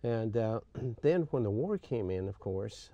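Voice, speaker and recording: An older man from Greece, a retired coach, recounting his life in an interview with a halting manner, often pausing and clearing his throat. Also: fairly low pitch, animated, dark tone, dry recording, lo-fi sound.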